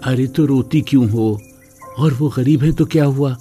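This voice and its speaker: Man as middle-aged father, gentle and caring, mellow voice, story cartoon, faint music behind. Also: medium pitch, normal speed, natural voice. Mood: reassuring. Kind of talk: acted Hindi moral cartoon story.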